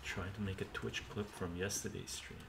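A man's voice: sits low, level tone, moderate pace, gravelly. An older man hosting a cooking live stream in his home kitchen, chatting easily and narrating each step aloud. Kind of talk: casual chat while cooking, reading chat. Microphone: camera mic some distance away. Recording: roomy, distant, noisy kitchen stream audio.

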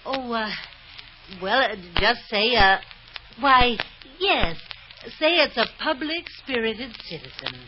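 An actress playing a scheming, domineering wife in an old-time radio drama, imperious one moment and coy the next. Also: female voice, fairly high pitch, big pitch swings, slow pace. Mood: coy, conniving. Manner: imperious, snapping, sweetly coy on the telephone. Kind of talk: 1950s radio drama acting. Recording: dull, noisy old radio recording.

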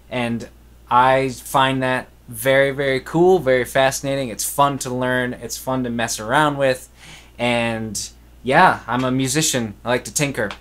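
A man's voice: mid-range voice, fairly bright tone. Laid-back young man explaining studio tricks. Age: young adult. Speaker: musician hosting a recording tutorial. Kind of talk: casual instructional talk to camera. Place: small indoor home studio.

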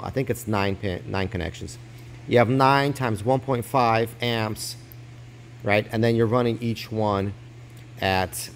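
A man's voice: on the low side, slight background noise. A man giving a casual hands-on tutorial, explaining step by step while filming close up on his phone at a desk. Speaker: crypto mining hardware explainer. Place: indoors at a desk. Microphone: phone held close, filming his hands.

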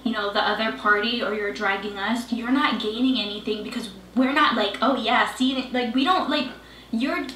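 A woman's voice: on the high side, normal speed, pushed and bright, a little hiss or hum. A woman from Hawaii, a guest on a video call, explaining herself earnestly with many fillers. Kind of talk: casual chat on video call. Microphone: video call from home. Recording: roomy distant video-call audio.

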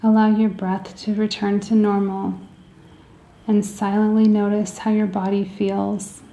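A woman leading a guided meditation in a soothing, gentle way, with deliberate phrasing and pauses, in a roomy, echoing recording. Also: medium pitch, completely flat delivery, vocal fry.

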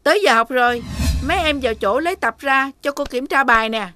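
High, sharp, strict cartoon schoolteacher. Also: female voice, a little fast, faint music behind. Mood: brisk, questioning. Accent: southern Vietnamese. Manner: stern, emphatic. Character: strict female schoolteacher scolding young pupils. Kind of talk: children's cartoon dubbing.

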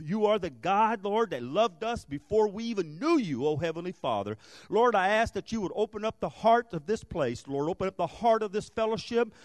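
A middle-aged man from Brazil preaches as a guest pastor, speaking into a handheld microphone on a church stage. His voice sits high for a man, and the sound is dry and close. He talks in an animated storytelling way and rises into fervent prayer. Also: dry recording. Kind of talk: sermon with storytelling.

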